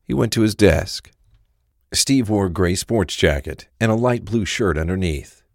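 A man's voice: low voice, animated, a little slow, creaky, darkish tone, dry recording, studio quality. A man reading scripted narration for a crime audio drama.

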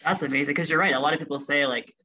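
A man's voice: fairly high pitch, fairly even intonation, rapid-fire, bright, forceful tone. A man from Russia co-hosting a podcast, chatting casually as a curious, responsive listener. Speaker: podcast co-host. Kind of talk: casual chat. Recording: dull lo-fi recording.

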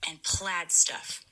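A woman giving an enthusiastic video monologue, gushing through a long run-on list of favourite things. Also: bright, forceful tone.

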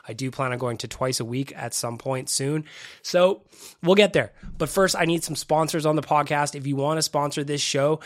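A man's voice: mid-range voice, no room sound, clean studio sound. Sniffly congested male podcast host. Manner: chatty and self-deprecating. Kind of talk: casual solo podcast chat. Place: home podcast studio room. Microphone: studio microphone.

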